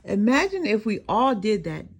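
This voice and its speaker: A woman voicing a caring, encouraging father in a children's storybook, gently instructive and reassuring. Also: medium pitch, very animated, normal speed, vocal fry, breathy edge, full character voice. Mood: proud, instructive. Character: caring, wise, encouraging father of a young boy.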